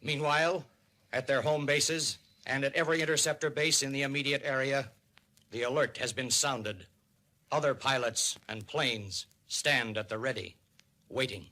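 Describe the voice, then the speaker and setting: An American man narrating a 1950s radio civil defense documentary in a grave, emphatic, deliberate manner. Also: mid-range voice, pushed and bright, lightly acted. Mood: grave, urgent. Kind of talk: 1950s radio documentary narration, dramatic public-service announcing. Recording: vintage 1950s radio recording.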